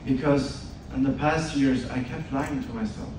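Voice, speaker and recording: A man's voice: mid-range voice, steady tone, moderate pace. Man in an echoing hall. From Switzerland. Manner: earnest storytelling, direct address to audience. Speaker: speaker at an event, podcast host. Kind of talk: motivational stage talk. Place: large event hall. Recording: distant echoey hall with noise.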